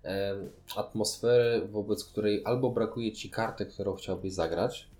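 Low-pitched man, explaining at length. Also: measured pace, darkish tone. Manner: explanatory, wordy, long sentences. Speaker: podcast host. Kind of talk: conversational board game review.

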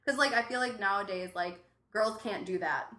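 A woman's voice: mid-range voice, moderate pace. A woman bartender from Cleveland with a Cleveland accent, chatting casually on a podcast, filling her talk with "like". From Cleveland, Ohio. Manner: chatty, many likes, animated. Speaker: bartender guest. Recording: roomy echo.

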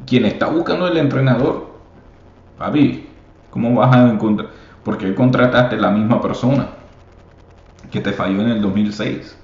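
Deep slow-talking man on a podcast. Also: darkish tone. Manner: switches between Spanish and English, many fillers; encouraging, calls listeners papi. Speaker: podcast host. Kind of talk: casual podcast monologue. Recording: echoey room, slightly dull sound.

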